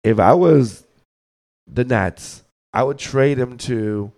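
A hoarse man, getting over the flu, hosts a podcast and delivers a blunt, scolding sports rant, often repeating words as he thinks. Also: medium pitch, takes it slowly, darkish tone. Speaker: podcast host.

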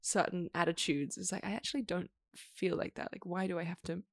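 A woman's voice: on the low side, steady tone, fairly quick, dry recording, studio quality. A young woman from Australia, speaking as a podcast guest in a reflective, thoughtful way and using frequent "like" fillers. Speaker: interview guest. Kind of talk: casual conversation.

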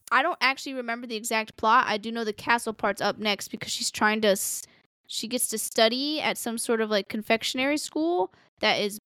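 A woman co-hosting a casual anime recap chat, expressive and blunt, swearing freely in slangy talk. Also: fairly high pitch, clean tone, dry recording, clean studio sound. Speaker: podcast co-host recapping an anime.

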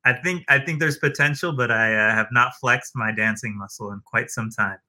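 A man's voice: medium pitch, moderate pace, pushed and bright. A man hosting a casual interview, easygoing and encouraging, often murmuring "mm-hmm" while his guest talks. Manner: easygoing, backchannels with "mm-hmm", light laughs. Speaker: interviewer, host.

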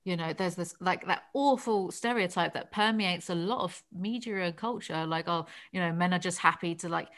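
A woman's voice: on the low side, moderate pace, hardly any pauses, a bit bright. A woman interviewer chatting in a casual, informal conversational way.

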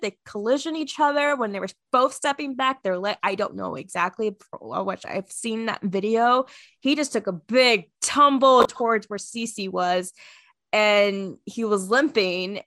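A woman's voice: mid-range voice, fairly bright tone, no echo. This is a woman with a slightly stuffy, congested voice, recorded close in a dry space. She chats casually and at length, drifting between topics with frequent small laughs. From Washington State. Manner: chatty, rambling, laughs often. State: stuffy from a cold. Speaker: podcast co-host; teacher. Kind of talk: casual chat.

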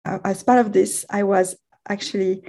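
A middle-aged woman, whose voice has a gravelly, creaky edge. Her tone is mellow and dark rather than bright. She speaks haltingly, restarting and correcting herself often as she answers interview questions. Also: medium pitch, fairly even intonation. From France. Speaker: guest physics professor. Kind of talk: casual podcast interview.

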